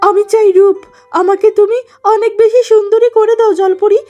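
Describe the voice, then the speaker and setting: A woman's voice: very high voice, little pitch movement, moderate pace, mellow, faint music behind. A woman voicing a vain, selfish young wife in a cartoon story, wailing and petulant in a melodramatic way. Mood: greedy, insistent.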